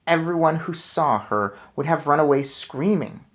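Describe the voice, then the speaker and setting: A man reading a children's book aloud as a storyteller, with expressive, deliberate phrasing. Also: on the high side. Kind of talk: expressive children's book narration with casual asides.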